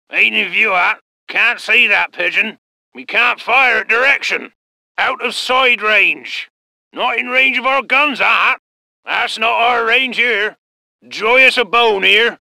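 A man's voice: high voice, gravelly, pushed and bright, no room sound, heavily acted. An actor voicing a folksy, loyal British tank crewman in a war game, with rustic, clipped military phrases. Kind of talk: short scripted game unit responses.

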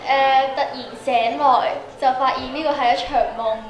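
Very high-voiced Hong Kong woman, echoey. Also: fairly even intonation, moderate pace, dull audio. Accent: Hong Kong Cantonese. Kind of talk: improvised group storytelling. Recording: echoey auditorium, chattering crowd.

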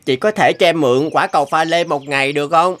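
A woman voicing an earnest, wounded young orphan demon in an animated fairy tale, pleading and plaintive. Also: low voice, fast, lightly acted.

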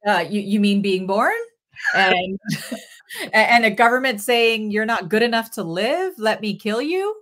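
A woman's voice: mid-range voice, expressive, measured pace, a bit bright. A woman in her forties from Sri Lanka, a guest filmmaker answering interview questions earnestly in bursts with many fillers, over a video call from a home office.

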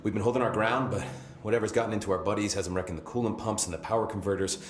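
A man's voice: lowish voice, steady tone, rapid-fire, slight background noise, close to an everyday voice. A man playing a wisecracking security chief. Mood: wry. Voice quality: chuckling, wisecracking. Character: young male security chief, wry, jokey. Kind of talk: video game cutscene. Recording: echoey room.

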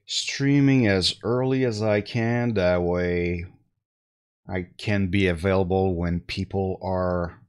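Slow, low-voiced man streaming with a headset. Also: clean tone. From Canada. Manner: slow, relaxed, with many fillers and restarts. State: slightly congested. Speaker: tech deals streamer. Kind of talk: casual live-stream talk to chat. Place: small room at home.

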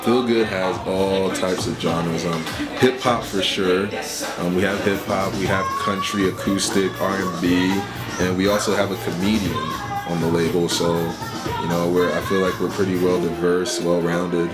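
A man's voice: sits low, level tone, moderate pace. A young man talking casually about his music label, with lots of "you know" fillers. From Liberia. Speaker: rapper and label owner. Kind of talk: casual sit-down interview. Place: small home studio indoors.

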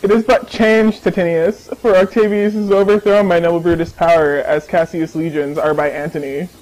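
A man's voice: sits high, level tone, moderate pace, a little mellow, natural voice, rough recording. A man playing a solemn Roman messenger officer in a declamatory radio Shakespeare drama. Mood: concerned. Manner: declamatory verse delivery. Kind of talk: old-time radio Shakespeare acting.

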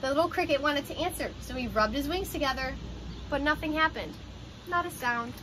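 A young adult woman reading a children's picture book aloud in an expressive, child-directed way, like a teacher. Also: high voice, fairly quick. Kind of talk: children's storybook read-aloud. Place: outdoors on a porch by glass doors. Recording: ambient outdoor noise behind voice.

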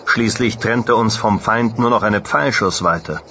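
Proud ancient soldier, muffled, noisy. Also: male voice, on the low side, measured pace, bright, forceful tone. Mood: fervent. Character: proud Macedonian soldier recounting a glorious battle. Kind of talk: dramatic eyewitness narration in an audio drama.

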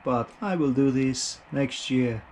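Slow-talking man with a gravelly creak. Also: mid-range voice, a little mellow, a little hiss or hum. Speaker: motorcycle hobbyist, video host. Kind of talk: casual DIY vlog narration.

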